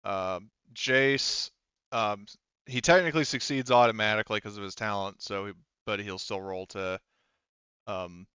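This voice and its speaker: Guy game mastering online, smooth low voice, kinda muffled close mic, keeps stopping to think and fix what he said. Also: bright, forceful tone, no room sound. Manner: thinks aloud, halting, self-correcting. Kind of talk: running an online tabletop roleplaying game, casual talk.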